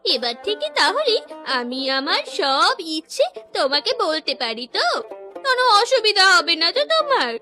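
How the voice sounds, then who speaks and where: A woman's voice: very high-pitched, very animated, normal speed, sharp tone, faint music behind, heavily acted. A woman voicing a discontented, scheming young wife in a cartoon story, coaxing and complaining in a coy way. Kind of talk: animated cartoon story dialogue.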